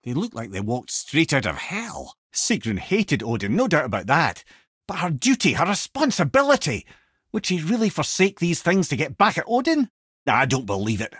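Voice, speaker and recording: A witty old Scottish storyteller, a learned talking head from a fantasy video game. His delivery swings widely in pitch, wry and wordy with old-fashioned turns of phrase. The sound is close and dry. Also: wildly swooping pitch, moderate pace, a bit bright, breathy edge, dry recording, heavily acted. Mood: wry, companionable. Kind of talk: video game character dialogue, storytelling and battle callouts.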